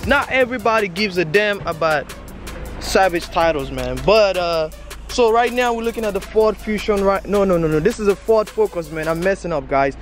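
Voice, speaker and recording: A man's voice: sits high, lively intonation, moderate pace, faint music behind. Young man vlogging at a car lot. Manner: preachy hustle talk, repeats "man" and "bro". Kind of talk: casual vlog walkthrough. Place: outdoor car auction lot and inside a car. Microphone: handheld camera at arm's length.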